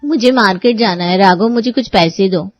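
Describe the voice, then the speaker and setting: A woman's voice: mid-range voice, moderate pace, no room sound, close to an everyday voice. A woman voicing a vain, indignant young sister-in-law in a cartoon story, huffy and defiant. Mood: demanding.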